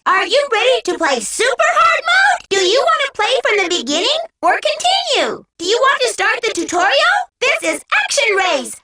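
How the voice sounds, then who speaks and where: A woman voicing a small, cheerful, childlike robot guide in a video game, giving perky, clearly spoken instructions. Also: extremely high pitch, bright, forceful tone, a little hiss or hum, exaggerated voice. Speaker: tutorial guide and menu announcer. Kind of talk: scripted video game prompts.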